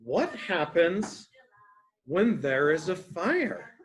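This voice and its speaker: A male early education teacher reads a picture book aloud to young children. He goes very slowly, explaining words in simple phrases. He often turns to the children with questions. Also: sits high, sing-song.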